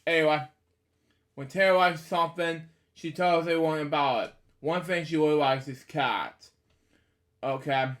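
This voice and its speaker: Halting young man, slightly high voice. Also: a bit bright. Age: 20s. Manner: halting, stumbles over words while reading; rambling asides with repeated catchphrases. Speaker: host of a story reading series. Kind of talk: casual read-aloud with off-the-cuff commentary.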